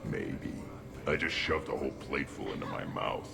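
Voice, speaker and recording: An actor playing a gruff, hulking alien brute with a very deep, gravelly voice, speaking bluntly. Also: male voice, lively intonation, normal speed, exaggerated voice. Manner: blunt, terse. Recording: music playing behind the voice.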